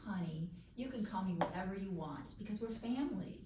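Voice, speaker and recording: A woman acts out a loving mother of the groom at a live table read. Her mid-pitched female voice is distant and very echoey, with clear noise behind it. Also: expressive, fairly quick, lightly acted. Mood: affectionate.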